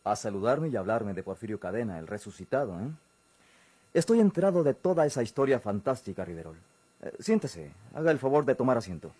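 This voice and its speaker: Bossy mexican police chief, old radio soap, raspy, very dry close sound, animated but condescending. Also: male voice, medium pitch, brisk, no echo. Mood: wry, condescending. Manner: formal, authoritative, curt. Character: stern, dismissive male police chief, bureaucratic and condescending. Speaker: actor. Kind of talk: Mexican golden-age radio drama acting.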